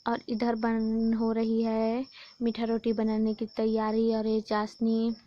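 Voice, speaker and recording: A young woman from Chhattisgarh, hoarse from a sore throat, chatting casually to her viewers in a home vlog. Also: a little high, monotone, takes it slowly, dry recording, muffled recording. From Chhattisgarh, India. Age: young adult. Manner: chatty, keeps addressing viewers as friends. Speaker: vlogger. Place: village home during a festival.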